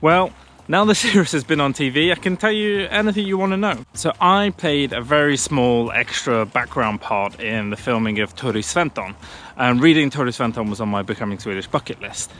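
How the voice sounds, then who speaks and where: A man's voice: medium pitch, brisk, a bit bright, slight background noise. Chatty man vlogging outdoors. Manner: chatty, self-deprecating, upbeat. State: out of breath pushing uphill. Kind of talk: casual vlog to camera. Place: outdoors on a country path. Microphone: camera on a pushchair, walking.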